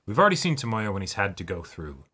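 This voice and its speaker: A man's voice: on the low side, very animated, quick pace, bright, forceful tone, breathy edge. A man co-hosting a reaction show in casual, conversational discussion.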